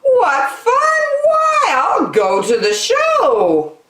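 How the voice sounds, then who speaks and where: Selfish lady bird for a storybook: very high, screechy, bossy, in an echoey room. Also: huge pitch swings, very slow, a bit bright, shouting, recorded from a distance, slight background noise, heavily acted. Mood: delighted surprise. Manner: screeching, exclaiming, indignant. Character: lazy, selfish, shrill female bird, bossy runaway mother. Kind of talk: children's storybook read-aloud. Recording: roomy echo.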